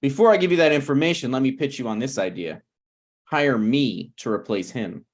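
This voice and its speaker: A man playing a brazen, scheming slacker screenwriter in a table read of a TV pilot, blunt and presumptuous with halting phrasing. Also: mid-range voice, animated, close to an everyday voice. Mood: pushy, conniving.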